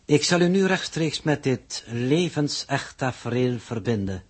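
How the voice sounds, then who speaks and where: Husky, pompous coordinator. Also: male voice, medium pitch, animated. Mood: ceremonious. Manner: formal, pompous, measured. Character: pompous, formal spaceflight coordinator presenting a broadcast. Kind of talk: 1960s Dutch radio drama acting.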